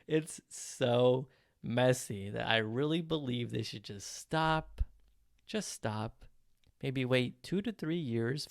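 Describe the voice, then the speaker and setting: A man's voice: mid-range voice, no room sound, clean studio sound. A man hosting his own podcast, talking casually and with strong opinions, with plenty of ums and uhs. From Mexican heritage. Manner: chatty, opinionated, frequent fillers. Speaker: podcast host. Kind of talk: casual solo podcast talk.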